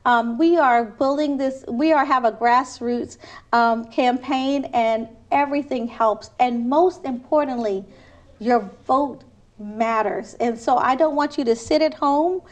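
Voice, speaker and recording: A woman's voice: high-pitched, a little slow, dull audio. A woman from Trinidad, a city council candidate, answering interview questions in an earnest, persuasive way with frequent restarts.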